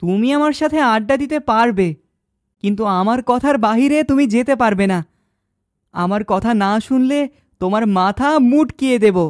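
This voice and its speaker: A cocky little village boy from a cartoon, played by a voice actor, bossy and old beyond his years. The voice is mid-pitched for the part and recorded very dry. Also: moderate pace, no echo, natural voice. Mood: bossy threatening. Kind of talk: cartoon dialogue acting.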